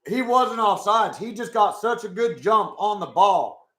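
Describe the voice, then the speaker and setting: A young man with a hoarse voice, chatting casually with his live chat as he watches a football game. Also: sits high, lively intonation, measured pace, sharp tone, roomy sound. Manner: chatty, swears, talks to chat. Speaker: live stream host.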